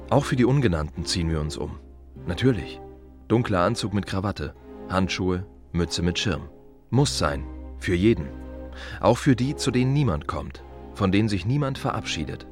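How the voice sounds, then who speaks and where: A deep-voiced, musing gravedigger. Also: male voice. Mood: solemn. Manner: musing, colloquial, short fragmentary phrases with repetitions. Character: plain-spoken, reflective male city gravedigger at peace with work. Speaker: actor in a radio play monologue. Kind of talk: reflective radio drama monologue.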